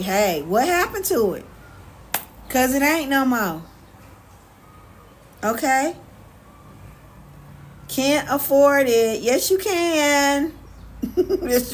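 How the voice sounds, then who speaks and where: An American woman chatting casually on a livestream as host, answering viewers in an animated, sassy, colloquial way. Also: high voice. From United States. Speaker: live stream host. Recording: clear background noise, ambient sound.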